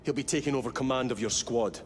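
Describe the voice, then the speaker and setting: An actor playing a stern, blunt space marine captain in a video game, with a curt, commanding manner. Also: male voice, medium pitch, fast talker, faint music behind, lightly acted. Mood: stern, guarded. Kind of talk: cinematic video game dialogue.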